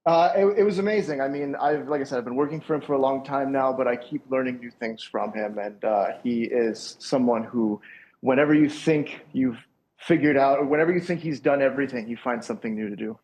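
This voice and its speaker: Thoughtful man, measured mid voice. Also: medium pitch, darkish tone, poor audio. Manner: thoughtful, rambling with restarts. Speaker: guest sound engineer. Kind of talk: interview answers.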